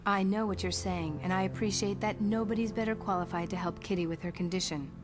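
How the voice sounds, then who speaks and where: A woman's voice: mid-range voice, steady tone, brisk, natural voice. An actress playing a protective, no-nonsense mother in a motion comic, speaking in an earnest, firm way. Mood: worried, firm. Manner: earnest, firm, sometimes sarcastic. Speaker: voice actor.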